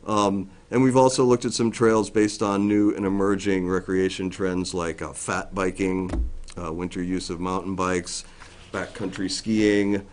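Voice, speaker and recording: A middle-aged man, a forest district ranger, giving a loud, plainspoken briefing to a town board with frequent ums and restarts. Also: low voice, little pitch movement, a little slow. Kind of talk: informal briefing at a public meeting. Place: town meeting room.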